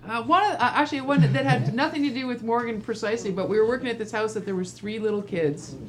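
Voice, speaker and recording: A middle-aged woman casually telling anecdotes in an animated, informal way. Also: on the high side, fast talker. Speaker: storytelling guest, a regular host's mother.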